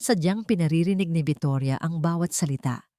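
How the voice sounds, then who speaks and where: A woman's voice: low voice, brisk, mellow, no room sound, clean studio sound. A woman with a Filipino accent reading a scripted dramatic story as its narrator.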